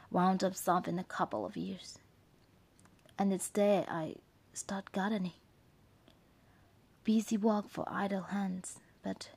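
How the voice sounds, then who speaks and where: Actress as bitter, wounded gardener woman, mellow and breathy, confiding bluntly and haltingly in a dramatic monologue. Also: mid-range voice. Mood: pained, resigned, then earnest, tender. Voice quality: husky, breathy, creaky. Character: wounded, bitter working-class woman gardener recounting her hard childhood.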